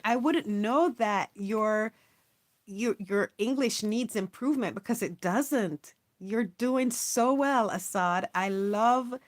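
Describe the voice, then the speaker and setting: Chatty encouraging woman streaming live. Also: fairly high pitch. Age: adult, not young. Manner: warm, encouraging, reads chat aloud, chatty with laughs. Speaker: livestream host. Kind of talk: casual livestream chat with viewers.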